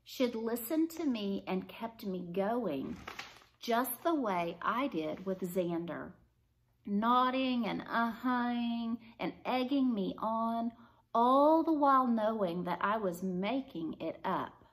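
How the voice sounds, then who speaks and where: Slow-paced woman reading a story. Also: fairly high pitch, lively intonation, poor audio. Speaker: storybook reader. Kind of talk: expressive scripted story narration.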